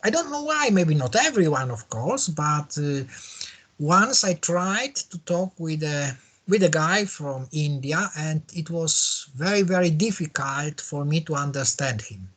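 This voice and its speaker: Casting: Polish-accented English learner, husky voice, very animated pitch, hesitant casual conversation. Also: measured pace. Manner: hesitant, repeats phrases.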